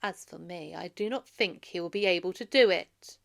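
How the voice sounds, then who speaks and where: A woman's voice: on the low side, normal speed, creaky. A woman voicing an arrogant, scornful male suitor in a storybook, defiant and taunting in manner. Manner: forceful, taunting.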